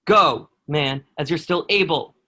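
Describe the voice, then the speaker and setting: A man's voice: fairly high pitch, unhurried, pushed and bright, slight background noise. A man playing a protective, steadfast soldier and loyal friend in a historical play reading, speaking earnestly in formal period phrasing. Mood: firm, protective. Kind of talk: dramatic table read of historical play.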